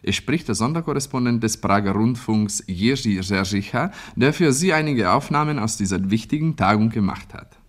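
Low-voiced, courteous radio announcer. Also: male voice, expressive, moderate pace. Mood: formal, courteous. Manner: formal, courteous. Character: courteous male radio announcer linking to a conference broadcast. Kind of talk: radio play news announcement.

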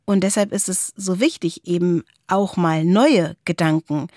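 A woman's voice: on the low side, unhurried, darkish tone, dry recording, clean studio sound. A woman co-hosting a podcast, talking reflectively in a conversational discussion. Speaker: podcast co-host.

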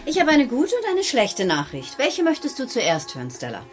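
A woman's voice: on the high side, wildly swooping pitch, normal speed. An actress playing a practical, easily exasperated mother in a German children's radio play. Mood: teasing.

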